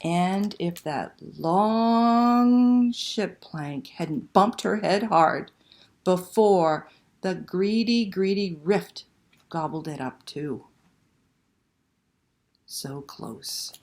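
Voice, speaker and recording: A woman telling a picture-book story to children in a dramatic, expressive read-aloud style. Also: on the low side, very slow, long pauses. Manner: dramatic, animated delivery with hushed passages and exclamations.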